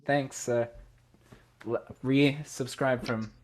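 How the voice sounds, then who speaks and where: A man's voice: mid-range voice, steady tone, slow pace. A man co-hosting a podcast in casual, bantering chat, with slight noise and clicks on the recording. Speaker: podcast co-host. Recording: faint background noise, clicks.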